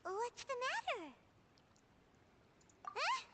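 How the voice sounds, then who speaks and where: A woman's voice: extremely high pitch, huge pitch swings, very slow, full character voice. A woman voicing a tiny, curious, childlike fairy companion in a video game, exclamatory and easily puzzled. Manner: exclamatory, speaks of self in third person.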